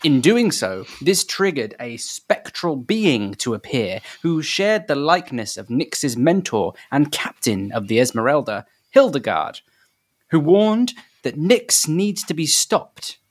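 A man reading out the recap of a tabletop adventure, steady and measured like a storyteller. His voice sits slightly high. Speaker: player and recap narrator.

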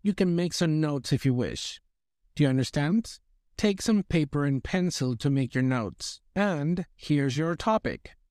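Formal male examiner, slightly high voice. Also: lively intonation, unhurried, a little mellow, dry recording, clean studio sound. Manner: formal, clearly structured instructions. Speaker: oral English exam examiner. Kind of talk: formal scripted exam questioning.

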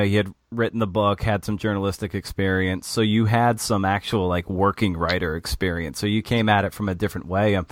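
An American man hosting a casual interview, circling his way haltingly toward each question. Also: on the low side, no room sound, studio quality. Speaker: podcast host and interviewer.

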